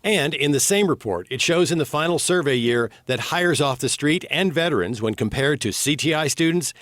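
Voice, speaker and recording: A man's voice: on the high side, expressive, moderate pace, fairly bright tone, no echo, studio quality. An American man working as an investigative TV reporter, reading a scripted news report narration.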